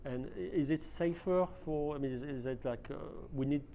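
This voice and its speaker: A man's voice: a little high, moderate pace, mellow, slight background noise. A man hosting a round-table interview, asking hesitant, searching questions at a restaurant table. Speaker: host. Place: restaurant dining table, indoors.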